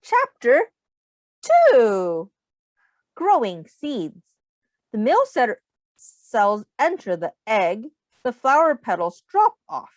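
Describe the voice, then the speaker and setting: Woman reading expressively to children, very animated. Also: on the high side, slow, pauses often, dry recording. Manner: instructive, expressive, addressing young children with questions. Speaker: early childhood teacher reading aloud. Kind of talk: children's read-aloud with simple explanations and questions.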